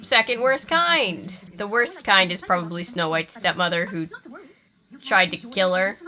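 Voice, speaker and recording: A woman hosting a reaction video, commenting casually with expressive, wry asides. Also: medium pitch, measured pace. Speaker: video host reacting to a film.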